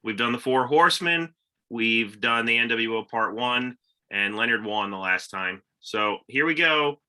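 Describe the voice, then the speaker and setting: A man's voice: mid-range voice, lively intonation, unhurried, bright, forceful tone. A man co-hosting a casual wrestling podcast, upbeat and teasing his co-host.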